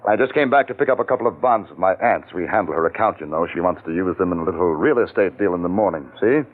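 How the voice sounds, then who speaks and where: A nervous, smooth-talking crook with a lowish voice that rises and falls a lot. It sounds tinny, like an old radio broadcast. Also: male voice, animated, fast talker. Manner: hesitant, stammering, charming. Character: nervous man embezzling his aunt's money, smooth talker. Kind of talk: 1940s radio acting.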